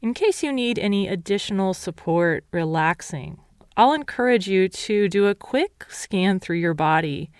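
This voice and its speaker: A woman meditation teacher leading a guided meditation in a calm, measured, instructional way. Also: mid-range voice, clear, resonant tone, dry recording, studio quality.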